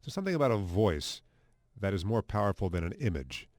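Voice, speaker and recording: An American man speaks as an expert interviewee in a fairly low voice. His delivery is emphatic and very animated, and the sound is dry and close. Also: brisk, no room sound. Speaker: radio anchorman, expert interviewee. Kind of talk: interview commentary.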